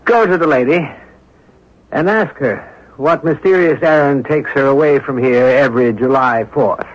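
An actor playing an observant, composed amateur sleuth in a radio mystery drama, reasoning aloud in a deliberate, inquisitive way. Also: male voice, mid-range voice, natural voice. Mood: probing. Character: observant, composed amateur sleuth, a man puzzling over clues. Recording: dull lo-fi old radio broadcast recording.

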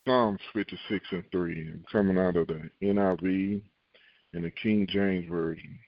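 A very deep-voiced man preaches a sermon, reading scripture and slowing down to repeat his key lines. He acts out fretful believers in a higher, louder voice. The recording is dry and a little dull. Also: fairly even intonation, no room sound. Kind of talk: podcast sermon.

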